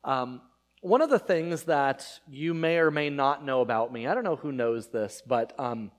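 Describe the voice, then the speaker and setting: A male pastor in his thirties whose first language is Spanish talks to his church in an easy, meandering way. He fills pauses with ums and uhs and restarts his sentences. His voice sits at a middle pitch. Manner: conversational, rambling with many fillers and restarts. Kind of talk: casual sermon.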